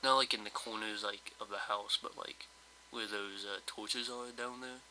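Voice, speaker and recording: A man with a lowish, very bright and forceful voice talks over his gameplay in a flat, monotone way. He sounds slightly hoarse, and he rambles and hesitates with plenty of fillers. A faint hiss sits under his voice. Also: moderate pace, rough recording. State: slightly hoarse, just woke up. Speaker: let's play host. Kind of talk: casual gameplay commentary.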